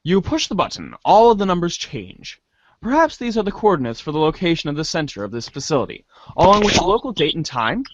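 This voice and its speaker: A man's voice: fairly high pitch, very animated, fairly quick, lo-fi sound. A man giving a theatrical dramatic reading of scripted narration, now and then stumbling and rereading words. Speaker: voice reader in a webcomic reader's theater.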